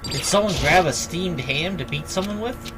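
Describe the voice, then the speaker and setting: A man's voice: sits high, lively intonation, moderate pace, noisy background. A man giving casual, joking and sweary commentary while streaming a video game playthrough. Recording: loud game music behind.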